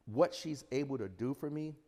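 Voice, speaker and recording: A man's voice: medium pitch, normal speed, mellow. A man telling his story in a conversational interview, emphatic and repeating phrases as he goes. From New York (the Bronx). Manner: emphatic, repeats phrases, checks in with "you know what I'm saying". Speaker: podcast guest, entrepreneur. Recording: reverberant hall-like room echo.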